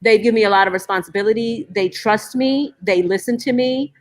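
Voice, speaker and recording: A woman's voice: mid-range voice, fairly quick. A middle-aged woman from Brooklyn, a stage manager, chatting as a guest over a video call and leaning on fillers as she talks. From Brooklyn, New York, USA. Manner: conversational, leans on "you know" and "um". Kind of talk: casual interview chat.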